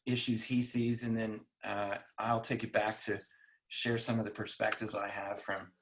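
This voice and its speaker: An older man with a fairly low voice hosts a business conference call. He explains things off the cuff in a measured way, pausing and restarting as he goes. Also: level tone. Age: middle-aged or older. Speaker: investment executive hosting a conference call. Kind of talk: unscripted business presentation.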